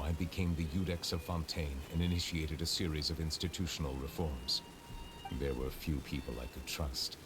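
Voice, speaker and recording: An actor playing a solemn, reserved ancient chief justice in a game, speaking formally and gravely. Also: male voice, a bass voice. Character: ancient dragon judge in human form, solemn and reserved.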